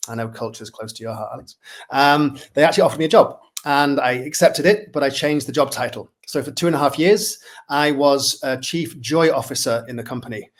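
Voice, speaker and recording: A man's voice: mid-range voice, fairly quick. A man in his forties from Manchester with a Mancunian English accent, a friendly guest who talks about his career in a self-deprecating way over a video call. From Manchester, England. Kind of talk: casual interview chat. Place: indoor room at home. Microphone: webcam on a video call.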